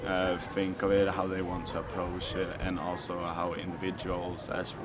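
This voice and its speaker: A man's voice: low voice, completely flat delivery, moderate pace, very noisy. A young man, speaking in a casual interview and hesitating often with filler sounds. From Sweden. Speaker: youth rally participant. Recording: outdoor recording with loud music.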